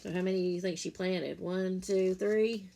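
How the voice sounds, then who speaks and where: A woman reading a picture book to children like a teacher, in simple, deliberate phrases, pausing to explain and ask questions. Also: fairly low pitch, steady tone, creaky, warm tone, slight background noise. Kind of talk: read-aloud storytime with explanatory asides.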